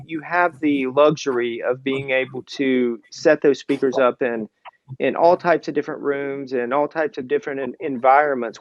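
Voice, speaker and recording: A man with a creaky, gravelly voice interviews a guest in a casual, rambling way. His pitch is average, and the sound is dry and a little dull. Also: no echo. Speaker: interviewer, audio magazine editor. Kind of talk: conversational interview questions.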